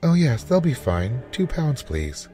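A man's voice: medium pitch, big pitch swings, unhurried, mellow, faint music behind, voice pushed up. A man voicing a polite, anxious housewife with an eager, over-sweet manner in a storybook reading. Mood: bright, casual. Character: housewife feigning brightness and distress, anxious, polite. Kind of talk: storybook character voice.

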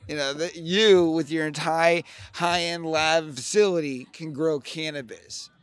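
An American man hosts a relaxed-format interview outdoors. His voice is a touch higher than average, and he chats informally into a handheld mic. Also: measured pace, clear, resonant tone, no echo. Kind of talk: casual chat. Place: outdoors on a festival lawn. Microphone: handheld microphone, face covered by cloth.

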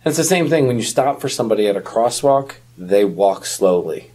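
A man's voice: on the low side, lively intonation, a little fast, warm tone, husky. A man in his thirties talking casually as the co-host of a podcast. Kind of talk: casual chat.

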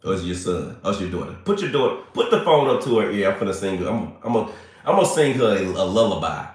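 Host type: animated man, a bit congested, low and lively pitch, chatting into a phone in a very echoey room. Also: fast. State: a little hoarse and congested. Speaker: livestream host. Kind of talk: casual livestream chat with viewers. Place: small bright room indoors. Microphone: phone at arm's length.

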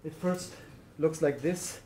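A man's voice: fairly high pitch, slow, dark tone. A man in his thirties from Germany, speaking English with a German accent, giving a chatty conference talk with fillers and laughs. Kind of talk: presentation talk. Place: lecture room. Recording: echoey lecture room.

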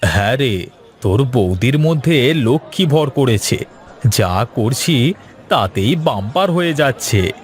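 A man playing a simple, good-natured village pumpkin seller in a cartoon, with folksy drawn-out exclamations. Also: medium pitch, lively intonation, faint music behind. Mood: delighted. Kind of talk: cartoon moral-story voice acting.

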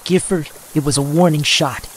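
A man's voice: on the high side, steady tone, moderate pace, slight background noise, pitched above the performer's own voice, natural voice, rough recording. A man voicing a level-headed young male hero in a fantasy audiobook, earnest and reasoning. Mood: exasperated.

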